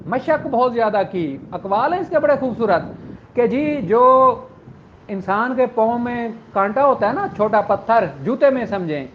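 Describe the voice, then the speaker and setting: A man giving an earnest motivational law lecture, addressing his listeners as sisters and brothers. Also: extremely high pitch. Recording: dull audio with faint background noise.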